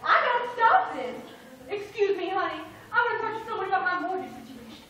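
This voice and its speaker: A woman voicing sassy, high-pitched Mama Nature. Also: expressive, fast, fairly bright tone. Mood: indignant. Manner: indignant, sassy. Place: small indoor puppet stage. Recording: echoey room from far off.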